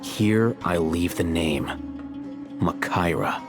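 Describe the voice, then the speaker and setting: A composed scholar from a video game, low-voiced and deliberate. He speaks formally and evenly tempered, with faint music underneath. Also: expressive, heavily acted. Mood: measured, reflective. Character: calm, measured, scholarly adult male investigator.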